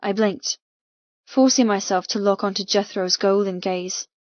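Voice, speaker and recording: A woman's voice: mid-range voice, steady tone, measured pace, a little mellow, dry recording. A woman reading first-person fiction as an audiobook narrator, with an emotive, dramatic delivery. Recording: dull, muffled, dry recording.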